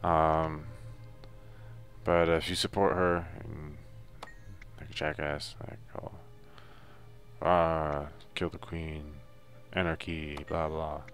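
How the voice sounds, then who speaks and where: A man's voice: rumbling low, little pitch movement, slow pace, fairly bright tone, faint music behind. A man giving a casual, unscripted video game walkthrough in a loose, hesitant, laid-back way. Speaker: video game guide narrator.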